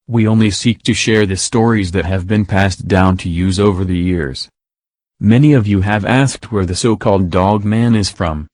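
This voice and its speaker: A computerized male voice narrating a scripted legend in a measured, formal storytelling way. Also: on the low side, darkish tone, no room sound, studio quality. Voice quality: computerized synthetic voice. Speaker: host and narrator.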